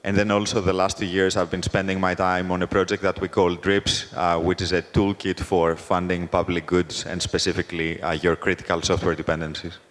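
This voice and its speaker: A man from Greece introducing himself as a panel guest and software founder, calm and matter-of-fact. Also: deep voice, brisk, hardly any pauses. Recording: long reverb of a large hall.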